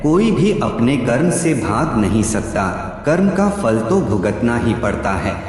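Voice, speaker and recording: A man narrating scripted inspirational spiritual quotes in a solemn, measured, preaching manner. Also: mid-range voice, very animated, a little mellow. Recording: very echoey reverberant voice track. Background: music.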